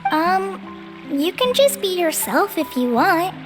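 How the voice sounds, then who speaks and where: An eager young ranger, high-pitched. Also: female voice, very animated, slow pace, over music, full character voice.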